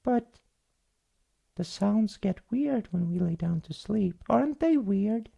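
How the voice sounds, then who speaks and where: A woman's voice: medium pitch, animated, slow, gravelly, warm tone, breathy edge, exaggerated voice. A woman voicing a small, timid, curious young troll boy in a bedtime story, asking worried questions hesitantly. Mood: doubtful, worried.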